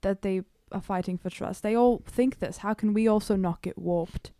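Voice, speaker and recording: A woman's voice: medium pitch, normal speed, warm tone, no echo, lightly acted. Woman playing a wry, reluctant young demigod student, dry sound. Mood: uneasy. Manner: hesitant, casual, sarcastic. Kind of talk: improvised in-character tabletop roleplay.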